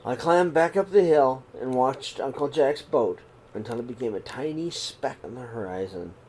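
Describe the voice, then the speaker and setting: Gentle man reading a picture book aloud. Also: mid-range voice, a little hiss or hum. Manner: gentle, unhurried storybook reading with throat clears and restarts. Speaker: read-aloud host for young children. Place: living room, seated on a sofa before curtains. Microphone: webcam or laptop camera at close range.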